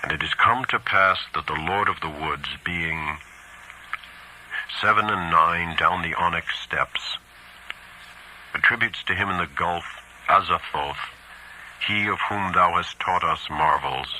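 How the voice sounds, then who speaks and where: A man performs an educated male cultist from a horror story. He declaims his ritual praise with solemn, exalted reverence, in a very deep, forceful voice. There is faint noise behind the words. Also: a little slow, full character voice, rough recording. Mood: reverent, exalted.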